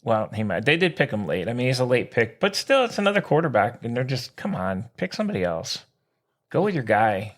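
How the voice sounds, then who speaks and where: A man's voice: medium pitch, big pitch swings, brisk. A man co-hosting a sports podcast in casual banter, with an informal, exclamatory, slangy way of talking.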